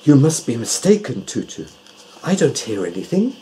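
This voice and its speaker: Guy reading a kindly doctor in a kids book, curious and polite, mellow, lots of up and down. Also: medium pitch, sing-song, normal speed, slight background noise, voice pushed up, close to an everyday voice. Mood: doubtful. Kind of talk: children's storybook character voice.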